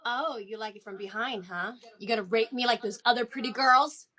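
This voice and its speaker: An actress reading a feral, defiant, foul-mouthed fugitive in a film script, combative and agitated. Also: female voice, fairly high pitch, brisk, bright, forceful tone. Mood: furious, defiant. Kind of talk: table read of a film script.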